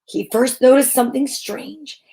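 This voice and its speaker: A woman reading a children's picture book aloud in a theatrical, suspenseful, drawn-out way. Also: high voice, creaky, mellow. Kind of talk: dramatic children's story read-aloud.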